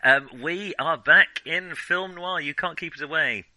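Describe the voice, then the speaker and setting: A man's voice: fairly high pitch, a little slow, sharp tone. A man co-hosting a film podcast in casual chat, who laughs at his own slips. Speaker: podcast co-host.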